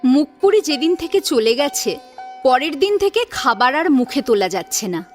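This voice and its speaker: A woman's voice: sits high, very animated, normal speed. A woman narrating a story in a dramatic audiobook style. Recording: sitar music behind the voice. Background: plucked sitar music.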